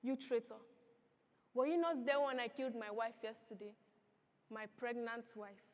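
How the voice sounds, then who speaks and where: A woman voicing a brutal male militia killer who threatens in a low, cold voice, recorded in an echoey hall. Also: fairly even intonation, normal speed, lightly acted.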